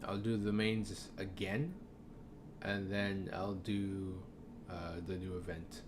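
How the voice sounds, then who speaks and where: Man, game streamer, casual rambling commentary, lots of fillers, lowish voice, noisy background. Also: flat delivery, takes it slowly. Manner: rambling, thinking aloud with many fillers.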